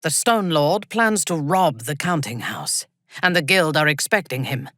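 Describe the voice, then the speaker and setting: An actress playing a ruthless, haughty female drow commander in a video game, with a husky, breathy voice and a measured, imperious, menacing delivery. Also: low voice, a bit bright, no room sound, studio quality. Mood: commanding, cool. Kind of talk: video game character voice acting.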